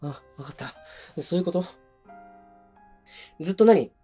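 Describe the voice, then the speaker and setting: A man's voice: high-pitched, lively intonation, a little slow, dark tone, breathy edge, soft background music, full character voice. A male actor playing a defensive, hot-tempered young boyfriend in a voice drama, speaking bluntly and casually to the listener up close. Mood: hurt, accusing. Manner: blunt, casual masculine speech. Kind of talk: situation voice drama addressed to the listener. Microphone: close binaural microphone.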